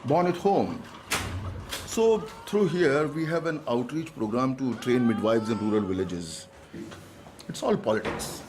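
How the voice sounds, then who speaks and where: A man's voice: medium pitch, animated, a little slow, a little mellow, natural voice. Breezy, slippery Indian doctor, played by a man, noisy ambience. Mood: jovial. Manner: breezy, joking, chuckling. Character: jovial, slippery Indian hospital doctor with unsettling jokes. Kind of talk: naturalistic radio drama acting.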